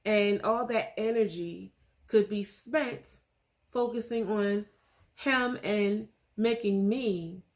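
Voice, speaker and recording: Earnest woman in her forties, vlogger; slow, gravelly, many pauses and fillers. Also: mid-range voice. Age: 40s. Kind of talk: casual faith vlog.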